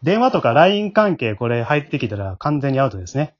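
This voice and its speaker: A man's voice: medium pitch, big pitch swings, a little fast, muffled recording, a little hiss or hum. A man hosting a casual chat, polite and explanatory. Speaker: host and scriptwriter of an amateur drama group. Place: at home, remote recording.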